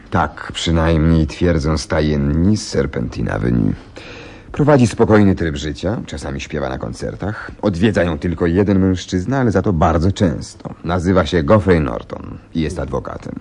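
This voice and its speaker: An actor playing a shrewd, self-assured gentleman detective, precise and theatrical, in a radio play. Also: extremely low pitch, very animated, moderate pace, vocal fry, full character voice. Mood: eager storytelling. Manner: precise, inquisitive, theatrical storytelling.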